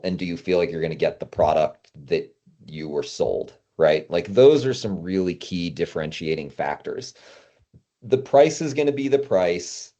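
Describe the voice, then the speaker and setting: A man's voice: sits low, mellow. A man speaking as a guest consultant in a casual interview, emphatic and explaining through hypothetical quotes. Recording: dull, band-limited audio.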